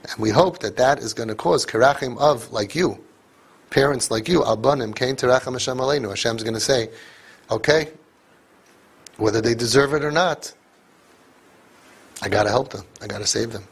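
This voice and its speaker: A man's voice: mid-range voice, moderate pace, vocal fry, pauses often, husky. A man giving an emphatic motivational talk to parents, repeating phrases for force. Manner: emphatic, repeats phrases, mixes in Yiddish and Hebrew words. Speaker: speaker addressing parents.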